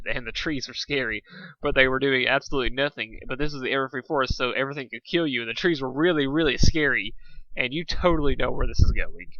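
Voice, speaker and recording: A man's voice: mid-range voice, pushed and bright, dry recording, dull audio. A man reading fan fiction aloud in an informal storybook style, stumbling over words and repeating phrases as he goes. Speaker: amateur fan fiction reader. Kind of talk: informal storybook narration with asides.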